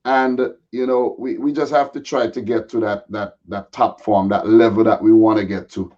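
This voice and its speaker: A man with a slightly raspy voice chatting casually and in a laid-back way as a guest football pundit. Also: on the low side, steady tone, moderate pace, darkish tone. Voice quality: a little raspy. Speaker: guest football pundit, Manchester City fan. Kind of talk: casual chat. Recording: dull, lo-fi audio.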